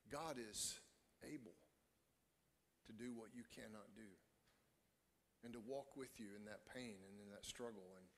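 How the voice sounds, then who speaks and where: An echoey hall carries the voice of a middle-aged man preaching. He is a pastor with a medium-pitched voice. He speaks earnestly and deliberately, pausing between phrases. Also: a bit bright, a little hiss or hum. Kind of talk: sermon to a congregation. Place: church stage, indoors. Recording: echoey hall with long reverb.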